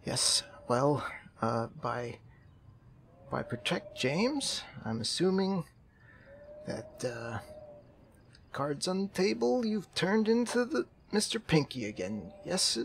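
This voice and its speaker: A man playing an eccentric, earnest amateur therapist in a roleplay game, halting and awkward, repeating himself for emphasis. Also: a little high, very animated, slight background noise, exaggerated voice. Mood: earnest, determined. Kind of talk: improvised roleplay in a zombie survival game.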